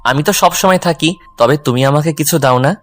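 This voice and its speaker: A young husband played by a male voice actor speaks in a fairly high register, teasing and jealous, in an audio love story. Music runs under his voice. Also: normal speed, natural voice. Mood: teasing, tender. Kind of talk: dramatized romantic audio story.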